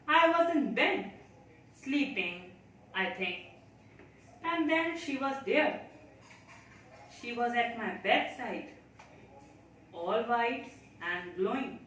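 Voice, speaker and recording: A woman performing a theatrical monologue as an anxious, chatty, naive child, talking to themself in a dramatic, deliberate way. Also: a little high, a bit bright, heavily acted. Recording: very echoey room, distant mic, faint noise.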